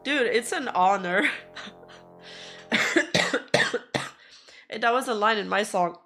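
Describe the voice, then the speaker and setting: Hoarse woman streamer, unhurried rambling chat, faint music underneath. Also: mid-range voice, pushed and bright. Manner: chatty, rambling, easily laughing. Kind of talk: casual livestream chat with viewers.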